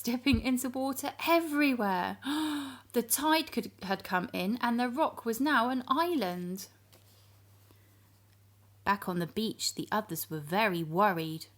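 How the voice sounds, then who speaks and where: A woman reading a storybook to young children in an animated, expressive manner, addressing her listeners directly. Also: on the high side.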